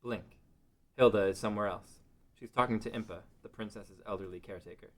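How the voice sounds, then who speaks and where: A man's voice: lowish voice, fairly quick, a bit bright. A man reading scripted narration as narrator of a table read.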